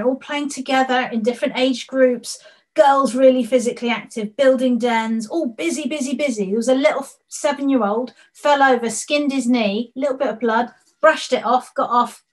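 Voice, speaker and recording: A woman presents on a video call, her voice sitting a little high. She talks with eager energy and tells vivid stories, often letting her voice fall quiet at the end of a point. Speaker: panel speaker. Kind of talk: presentation over slides.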